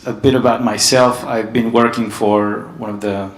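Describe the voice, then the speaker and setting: A man from Hungary giving a conference panel talk, steady and hesitant with many ums. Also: fairly low pitch, normal speed, reverberant. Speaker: panel speaker. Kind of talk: conference presentation.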